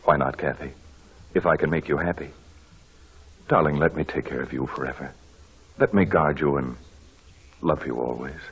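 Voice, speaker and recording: An actor playing a genial, tender, well-bred gentleman in a 1940s radio drama. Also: rumbling low, normal speed, pauses often, slightly rough. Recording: dull old radio broadcast, music behind.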